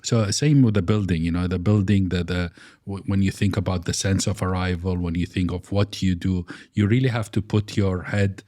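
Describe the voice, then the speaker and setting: A man's voice: deep voice, fairly even intonation, moderate pace, dark tone, no room sound, clean studio sound. A middle-aged man from Iraq, an architect guest in an interview, speaking with frequent fillers and restarts. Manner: hesitant, many fillers and restarts. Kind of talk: conversational interview.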